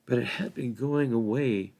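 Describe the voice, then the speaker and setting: A man's voice: mid-range voice, moderate pace, gravelly, warm tone, voice pushed up, lightly acted. A man reading a storybook, voicing an outspoken hobbit gatekeeper who grumbles in a gossipy, rustic way. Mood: grumbling.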